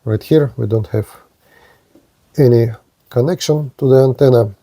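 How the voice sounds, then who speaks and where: A man giving a hands-on demonstration of gear as a product reviewer, speaking in short, halting phrases. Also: mid-range voice, warm tone, slight background noise.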